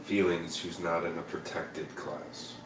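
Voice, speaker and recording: A man with a very low voice makes sarcastic, deadpan remarks as a guest on a live show. He sits far from the mic in an echoey room, with faint noise underneath. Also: a little slow. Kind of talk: casual chat.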